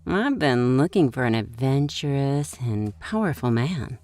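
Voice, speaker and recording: Woman acting a theatrical, unhinged game mistress, swooping pitch. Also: animated, measured pace, a little mellow. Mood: excited, flirtatious. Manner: theatrical, taunting. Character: gleeful, unhinged woman running a deadly real-life game. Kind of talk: dramatized story dialogue.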